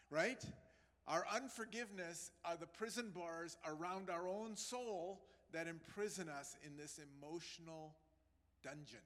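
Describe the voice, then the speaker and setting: A man in his sixties from Pakistan preaches an earnest sermon, talking straight to his congregation. His voice sits a little high for a man. The sound carries a long echo from a church hall. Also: fairly bright tone. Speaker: pastor.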